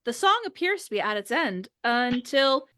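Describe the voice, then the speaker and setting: A woman's voice: a little high, very animated, normal speed, fairly bright tone. A woman narrating scripted stage directions for an audio drama.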